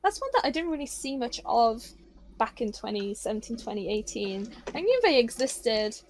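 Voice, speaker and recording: A woman's voice: a little high, big pitch swings, moderate pace. A woman whose first language is Polish, chatting as a streamer guest on a podcast, shy and rambling with many fillers and restarts. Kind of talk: casual podcast chat.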